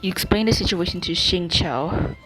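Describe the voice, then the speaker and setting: A woman's voice: fairly low pitch, normal speed, a bit bright, faint music behind, rough recording. A woman game streamer reading on-screen dialogue aloud as she plays.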